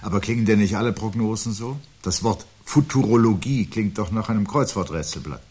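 Low-voiced, gloomy intellectual man. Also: brisk, darkish tone, husky. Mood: ominous, lecturing. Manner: pensive, pedantic, declamatory. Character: gloomy, weary intellectual man who dreads birds and doom. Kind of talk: 1970s German radio play dialogue. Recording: hissy 1970s radio recording.

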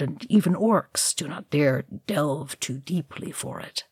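Old male wizard read by a woman, knowing and measured, slow delivery, lowish register. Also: slightly rough, no echo, clean studio sound, full character voice. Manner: diplomatic, explanatory.

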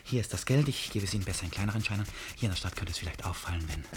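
A man's voice: low voice, racing, faint music behind, lightly acted. An actor playing an eccentric, impulsive, obsessive man in a 1950s German radio drama, persuasive and theatrical. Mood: brisk, insistent. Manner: theatrical, swinging from whispers to impassioned outbursts.

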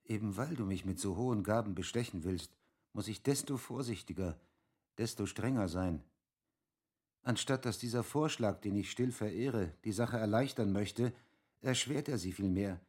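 An actor playing a cautious, honourable army major in a German radio drama, speaking in a measured, formal, grave manner. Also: male voice, lowish voice, darkish tone, husky.

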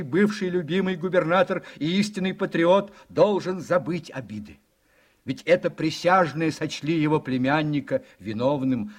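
A man narrating a radio play from a script in a storytelling manner, with a husky voice. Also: on the high side.

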